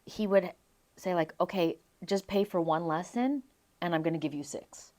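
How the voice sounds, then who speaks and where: A young adult woman from Las Vegas, a singer being interviewed, telling her stories in an animated way and laughing often. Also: fairly low pitch, moderate pace. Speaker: guest singer. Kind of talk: casual interview chat.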